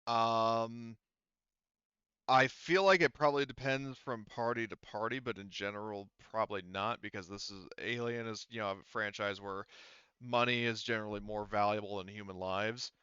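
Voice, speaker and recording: A man acting as game master, improvising narration for a tabletop roleplaying game with halting, hesitant phrasing. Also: lowish voice, fairly even intonation, pushed and bright, clean tone, no echo, muffled recording.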